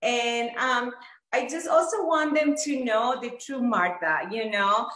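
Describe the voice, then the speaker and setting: A woman's voice: fairly high pitch, unhurried, fairly bright tone, roomy sound, rough recording. A woman hosting an interview in a chatty, enthusiastic way, with many fillers. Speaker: interview host. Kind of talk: casual interview chat.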